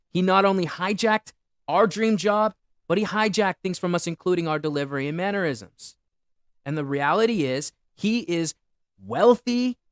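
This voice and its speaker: A young man hosting a solo livestream, rambling and boasting loudly about himself. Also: sits high, no room sound, clean studio sound. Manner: boastful, rambling, self-aggrandizing. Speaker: livestream host.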